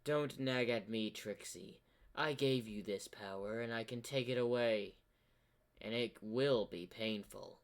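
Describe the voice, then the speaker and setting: A man voicing a sinister corrupted zebra, a commanding and menacing dark mastermind in a fan-made story. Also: medium pitch, natural voice. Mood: threatening. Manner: slow, ominous, commanding.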